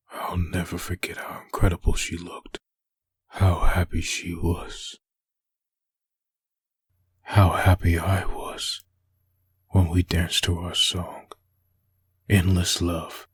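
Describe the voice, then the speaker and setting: A man playing a brooding, lovesick husband haunted by memories, in a husky voice for a dramatic comic dub. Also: low-pitched, no echo, full character voice. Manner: brooding inner monologue.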